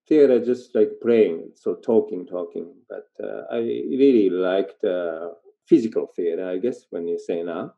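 An older man from Japan with a Japanese accent chats casually in halting, informal non-native English, telling stories as an interview guest. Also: lowish voice, gravelly. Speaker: interview guest, performer in dance theater. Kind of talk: casual conversational storytelling. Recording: dull, lo-fi sound.

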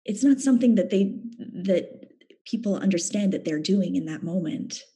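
A woman's voice: mid-range voice, expressive, mellow, breathy edge. A Canadian woman, a theatre actor, speaking thoughtfully as a guest in a reflective conversation. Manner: thoughtful, measured.

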